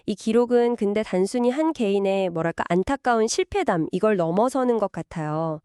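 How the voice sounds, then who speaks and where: A woman's voice: mid-range voice, lively intonation, fairly quick, dark tone, smooth, no room sound, studio quality. A woman co-hosting a scripted discussion, agreeing and reflecting thoughtfully. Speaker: podcast-style co-host. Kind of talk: scripted two-host discussion.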